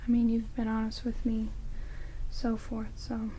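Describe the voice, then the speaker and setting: A woman playing a sardonic, grumbling vampire in a soft, half-whispered ASMR roleplay, muttering dryly close to the microphone. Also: a little high, completely flat delivery, unhurried, a little hiss or hum, natural voice, poor audio. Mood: wry, softening. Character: sardonic, grumbling female vampire grudgingly tending an intruder. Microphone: close binaural microphones. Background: heavy rain.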